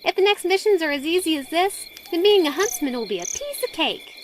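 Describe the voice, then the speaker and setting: A woman playing a small, feisty, easily flustered teenage huntress girl in a fan audio drama, perky and quick to take offence. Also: very high voice, lively intonation, background noise. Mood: cheerful. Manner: perky, indignant.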